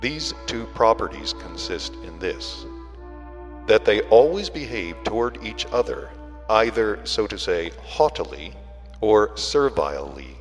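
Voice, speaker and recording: A man reading a literary audiobook in a deliberate way, with frequent pauses between phrases. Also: lowish voice, animated. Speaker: audiobook reader. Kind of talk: scripted literary narration. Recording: reverberant hall sound with music.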